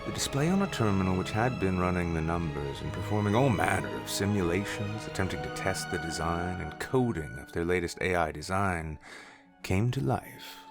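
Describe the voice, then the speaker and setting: A man's voice: sits low, animated, normal speed, over music. A man narrating a scripted story in the third person.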